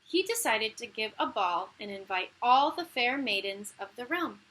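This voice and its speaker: A woman reading a children's story aloud as a teacher, in an animated, emphatic way with key words drawn out. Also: on the high side, moderate pace, rough recording. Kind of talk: expressive children's read-aloud narration.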